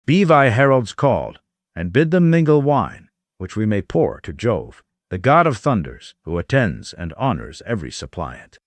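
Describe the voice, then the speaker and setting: A man's voice: fairly low pitch, very animated, normal speed, no room sound. A man playing an eloquent old chief in an epic audio drama, speaking with formal, pleading oratory. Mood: earnest, urging. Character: eloquent old chief counselling his king, courteous, urgent.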